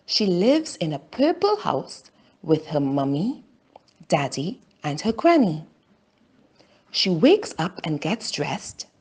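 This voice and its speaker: Slow, expressive woman reading a children's story. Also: on the low side, slightly rough. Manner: deliberate, simple phrasing with pauses. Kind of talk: slow scripted story narration for language learners.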